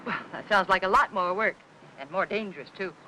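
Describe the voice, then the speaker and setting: Actress for vintage noir housewife: gentle, placating, medium pitch, faintly noisy film sound. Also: female voice, a little fast, close to an everyday voice. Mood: indulgent, playful. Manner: polite, placating, soft endearments. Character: gentle, strained housewife caring for a sick husband. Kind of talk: 1950s film noir acting.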